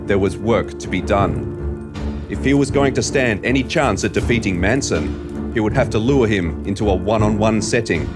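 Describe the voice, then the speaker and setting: Male story narrator, lowish pitch, measured and deliberate delivery over music. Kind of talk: scripted dramatic story narration.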